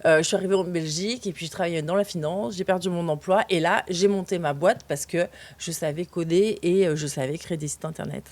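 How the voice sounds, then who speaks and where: Chatty woman with a lowish voice. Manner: lively, fast talk with many fillers and restarts. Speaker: guest, founder of a digital training company. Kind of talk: casual interview answers.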